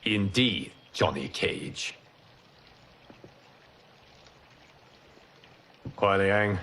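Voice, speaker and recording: A man playing a wise, solemn fire god and protector in a video game, speaking in a measured, formal way. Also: fairly low pitch, lively intonation, slight background noise, exaggerated voice. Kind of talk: video game cutscene acting. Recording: loud music behind. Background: music.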